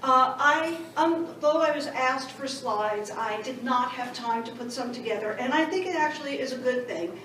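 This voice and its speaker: A woman's voice: sits high, moderate pace, hardly any pauses, a bit bright, slight background noise. A woman with a New York accent giving a conversational conference panel talk, with self-deprecating asides. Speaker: panelist, education director. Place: large conference hall. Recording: echoey hall recorded from far off.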